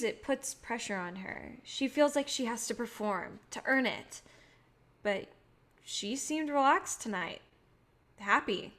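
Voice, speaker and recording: A woman's voice: a little high, a bit bright. A woman playing a guarded, idealistic filmmaker, wry and self-deprecating, in a naturalistic staged play reading. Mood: musing.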